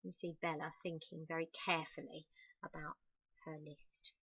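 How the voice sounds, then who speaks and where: A woman reading a children's story aloud expressively, stressing key words and slipping in soft, chatty asides to her young listeners. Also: sits low, flat delivery, moderate pace, slightly rough, no room sound, muffled recording.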